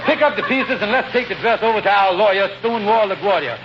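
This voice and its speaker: Scheming lodge boss, high voice, blustering and wheedling, vintage radio comedy, muffled recording. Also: male voice, lively intonation, fast talker, background noise. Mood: sheepish, scheming. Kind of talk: 1940s-50s radio comedy acting.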